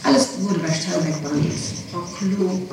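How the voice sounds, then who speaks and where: A middle-aged woman answering questions in a police interrogation, halting and evasive as she searches for words, on an old 1960s tape. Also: on the low side. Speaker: witness being questioned. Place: reverberant room, far from microphone. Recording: noisy, echoing 1960s interrogation tape, distant.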